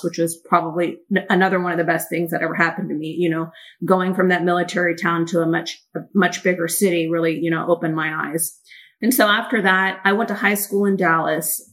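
Candid, blunt woman with a lower voice. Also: fairly bright tone. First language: Mandarin. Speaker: guest, company founder. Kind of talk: casual interview storytelling.

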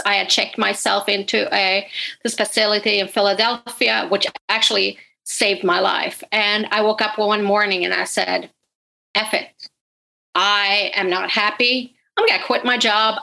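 A woman's voice: medium pitch, vocal fry, sharp tone, rough recording. A woman in her forties from Sweden, a podcast host talking candidly and chattily as an interview guest. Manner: chatty, candid, laughs easily. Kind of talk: casual interview storytelling.

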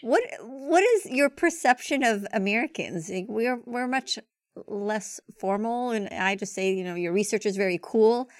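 A woman's voice: medium pitch, expressive, unhurried, dry recording, studio quality. A woman hosting a podcast, asking questions in a chatty way with frequent stammering restarts. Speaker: podcast host. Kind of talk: interview questions.

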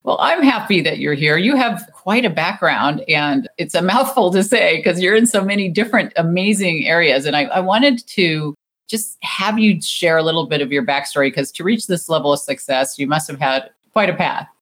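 A woman's voice: on the low side, moderate pace, fairly bright tone. A woman hosting an interview podcast, talking in a friendly, conversational way with some restarts. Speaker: podcast host.

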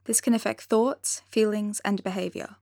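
A woman reading scripted, explanatory narration in a measured, clearly phrased way. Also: mid-range voice, steady tone, mellow, dry recording, studio quality.

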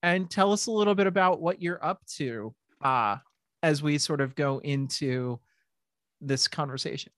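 Podcast host: middle-aged woman, lowish voice, animated and rambling, dry close mic. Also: normal speed, no room sound. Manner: animated, laughing, rambling with restarts. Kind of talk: casual podcast chat.

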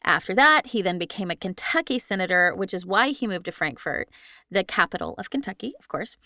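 A woman's voice: mid-range voice, big pitch swings, brisk, bright, forceful tone, no room sound, studio quality. A woman co-hosting a podcast, telling history from notes in an informal, joking style with some swearing. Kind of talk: casual storytelling from notes.

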